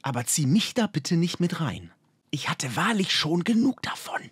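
A man's voice: a little high, sing-song, moderate pace, no echo, pitched above the performer's own voice. A man voicing a grumpy, sardonic mage and exasperated friend in colloquial audiobook dialogue. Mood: annoyed.

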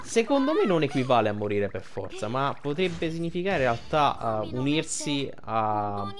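A man giving casual, informal game commentary, halting and correcting himself as he translates dialogue on the fly. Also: mid-range voice, sing-song, over music. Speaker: video game streamer.

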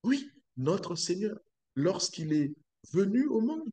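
A middle-aged man from Congo preaching a sermon to a webcam in a small room, slow and halting with many hesitations. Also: sits high, sing-song, dark tone. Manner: sways and gestures while preaching. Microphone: webcam at close range.

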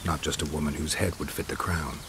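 Very low, dry monster hunter. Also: male voice, fairly even intonation, vocal fry, noisy background. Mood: skeptical, dry. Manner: dry, clipped, unhurried. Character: terse, skeptical male monster-hunter swordsman. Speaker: video game character actor. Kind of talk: game dialogue acting.

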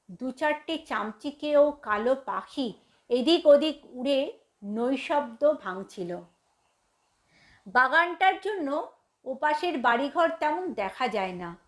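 A woman storyteller giving an expressive scripted narration of a tale. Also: sits high.

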